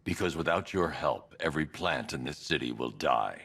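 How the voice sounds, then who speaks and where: An actor playing a grim, brooding vigilante hero in a video game, terse, stern and commanding. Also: male voice, very deep voice, lively intonation, moderate pace, gravelly, a bit bright, a little hiss or hum, exaggerated voice. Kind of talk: video game cutscene acting.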